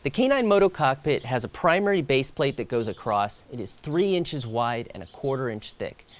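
A man in his thirties presenting a product outdoors, explaining in a relaxed way with the odd filler and restart. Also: medium pitch, moderate pace. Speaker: company co-founder presenting product. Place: outdoors by a stone wall.

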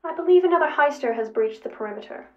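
Woman doing a small formal robot buddy for an audio story, polite n witty, precise wording, highish. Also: lively intonation, fast talker, roomy sound, muffled recording. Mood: sheepish. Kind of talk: acted audio fiction narration.

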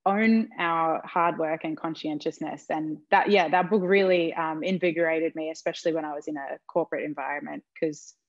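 A woman's voice: low voice, fairly even intonation, moderate pace, hardly any pauses, dry recording. A woman, a panelist on a video call giving earnest, encouraging advice. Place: home room with plain walls. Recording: slightly dull video-call audio.

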